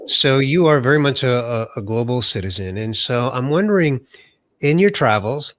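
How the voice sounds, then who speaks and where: A man's voice: medium pitch, animated, moderate pace, a bit bright, no echo, clean studio sound. A middle-aged man from the USA hosting an interview podcast, sounding nasal from a cold and introducing his guest with enthusiasm. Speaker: podcast host.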